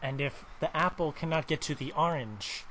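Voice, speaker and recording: High-pitched, rambling man on a noisy recording. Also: moderate pace, fairly bright tone. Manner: feigned confusion, rambling. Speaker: prank caller. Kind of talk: prank phone call, improvised absurd chat.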